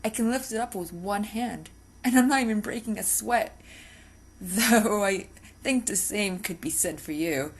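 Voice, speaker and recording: A woman's voice: medium pitch, unhurried, breathy edge. A woman voicing an obsessive, possessive but politely spoken female vampire lab technician in an ASMR roleplay, with teasing, hesitant asides. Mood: coy, persuasive. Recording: faint background noise.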